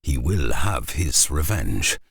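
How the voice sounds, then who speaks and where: An actor narrating a Viking game as a solemn saga storyteller, husky and grave, telling it in measured phrases. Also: male voice, a bass voice, very animated, no room sound, studio quality, exaggerated voice.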